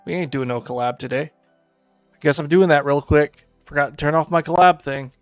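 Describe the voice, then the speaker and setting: A man's voice: on the high side, brisk, no echo, clean studio sound, soft background music. A man chatting casually as a game streamer, swearing and joking in loose asides. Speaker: streamer reading a visual novel aloud. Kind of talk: casual stream chat and read-aloud narration.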